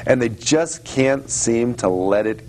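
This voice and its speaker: A man hosting a casual talk-show conversation, speaking in a halting, reflective way and often repeating words as he thinks. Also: mid-range voice, lively intonation, a little mellow. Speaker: host. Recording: faint background noise.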